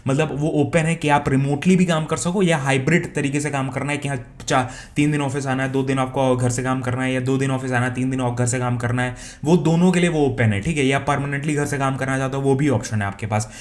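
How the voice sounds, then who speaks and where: A man's voice: medium pitch, fairly even intonation, a little fast, few pauses. A young man from India with an Indian accent, explaining remote job listings in a casual, conversational way, often restarting and checking in with the viewer. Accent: Indian English. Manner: conversational, frequent restarts and "theek hai?" check-ins. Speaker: career advice YouTuber. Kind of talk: casual explainer talk, Hindi-English mix.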